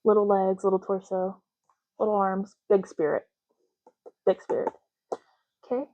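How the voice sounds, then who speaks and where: A soft-spoken woman doing an ASMR roleplay as a clerk, explaining gently and unhurriedly in short, broken phrases. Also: medium pitch, little pitch movement, unhurried, dull audio. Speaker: ASMR creator playing an ID card clerk.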